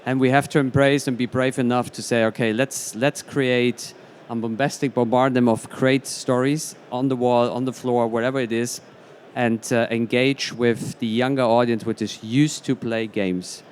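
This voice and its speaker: A man with a medium-pitched voice speaks as a panelist at a live event. Some ambient noise is audible behind him. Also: steady tone, normal speed. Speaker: panelist from a studio operation. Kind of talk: panel discussion remarks.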